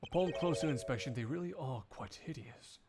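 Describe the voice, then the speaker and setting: A man's voice: mid-range voice, lively intonation, fairly quick, loud music behind the voice, natural voice. A man voicing a stern, proper, easily exasperated prosecutor in a live game fandub, speaking formally and precisely. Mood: dry, exasperated.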